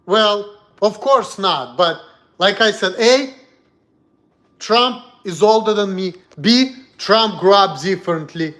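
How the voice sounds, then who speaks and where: A man's voice: high-pitched, slow, fairly bright tone. A man from Russia with a Russian accent, talking bluntly and heatedly on a casual livestream in broken English. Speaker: streamer.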